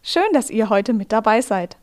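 A woman hosting a radio feature from a studio, telling the story in calm, measured, scripted narration. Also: fairly high pitch, smooth. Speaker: radio host.

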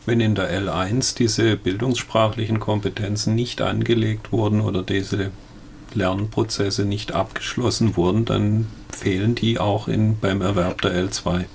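Gravelly low-voiced male expert. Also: steady tone, a little slow, a little mellow, a little hiss or hum. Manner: matter-of-fact, hesitant restarts. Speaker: expert guest. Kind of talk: explanatory discussion.

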